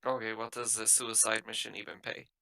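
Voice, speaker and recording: A gravelly, bright-edged male voice of a player acting as a skeptical spaceship captain in a sci-fi roleplaying game. He is blunt and challenging, with pointed questions and a creaky edge. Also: on the low side, level tone, fairly quick, exaggerated voice. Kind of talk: improvised tabletop roleplay dialogue.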